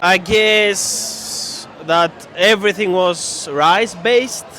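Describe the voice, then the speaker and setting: A man's voice: sits high, slow pace, pushed and bright, slight background noise. A man from Greece, a tourist, answering hesitantly and searching for words. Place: city street outdoors.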